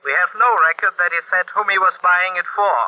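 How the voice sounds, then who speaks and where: A man's voice: high voice, fairly even intonation, a little fast, sharp tone, rough recording. An actor playing a businesslike male accountant who reports records precisely, in 1940s radio acting.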